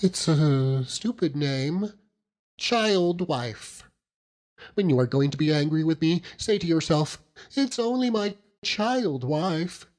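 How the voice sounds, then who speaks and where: A man's voice: on the high side, expressive, takes it slowly, a little mellow, voice pushed up. A man voicing a sweet, childish, doting young wife in an audiobook, pleading and self-deprecating. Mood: pleading, tender.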